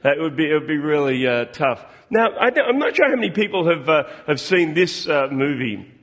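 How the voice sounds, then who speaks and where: A middle-aged man gives a sermon in an easygoing, talky way. His voice sits a little high for a man. He often pauses on fillers and restarts his sentences. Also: sing-song, fairly bright tone. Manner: conversational, with frequent fillers and restarts. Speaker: preacher.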